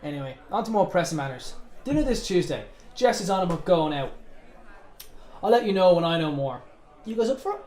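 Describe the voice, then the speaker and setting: Settled, matey British bloke male actor, teasing radio drama pal, lively pitch, roomy echoing sound. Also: a little fast. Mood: friendly. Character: settled, good-natured pub mate and expectant father. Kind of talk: naturalistic radio drama acting. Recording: echoey room.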